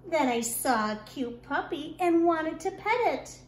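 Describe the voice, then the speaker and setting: A woman kindergarten teacher reading a rhyming picture book aloud to young children in an expressive, deliberate storytelling manner. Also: high voice. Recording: echoey room with clear background noise.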